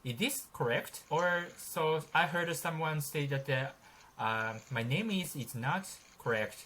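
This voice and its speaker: A man in his thirties with a Japanese accent, a halting English learner in an online lesson, pausing often with fillers. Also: on the high side, fairly bright tone. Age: 30s. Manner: hesitant, halting, frequent fillers.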